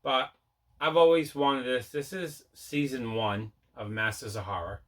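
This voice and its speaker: Bright-voiced man hosting a vlog. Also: mid-range voice, fairly even intonation, unhurried. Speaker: host of a collecting video show. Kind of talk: casual unboxing vlog chat.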